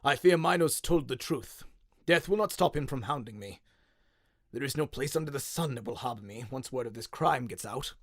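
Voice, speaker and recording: A man voicing a frail, sad old inventor who speaks slowly and gravely, in a musing, resigned way, for an audio story. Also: medium pitch, animated, no echo, studio quality.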